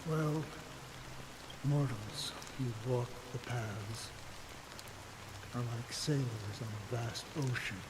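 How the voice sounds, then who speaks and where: Game cutscene: actor as a tired, resigned ranger, slow measured lines, steady rain behind. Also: male voice, mid-range voice, background noise, close to an everyday voice. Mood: weary. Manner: slow, reflective, heavy with resignation. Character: weary, world-worn older male ranger. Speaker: game character.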